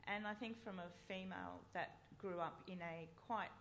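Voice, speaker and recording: A woman poet speaking earnestly on a festival panel, growing animated as she describes what moves her. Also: mid-range voice, a little slow, creaky, a little hiss or hum. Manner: earnest, animated when moved. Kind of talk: panel discussion.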